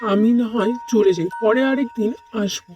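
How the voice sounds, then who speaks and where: A woman's voice: mid-range voice, normal speed, over music, close to an everyday voice. A woman voicing a polite, anxious young man in a cartoon. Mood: bewildered. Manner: plaintive, polite. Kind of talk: cartoon voice acting.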